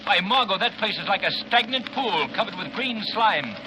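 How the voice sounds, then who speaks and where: An actor playing a gallant adventurer hero who flies a plane, speaking urgently and theatrically, in 1930s radio drama style. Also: male voice, high-pitched, lively intonation, normal speed. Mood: intense. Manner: urgent, declamatory. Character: gallant man-about-town hero, pilot. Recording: old radio broadcast with hiss.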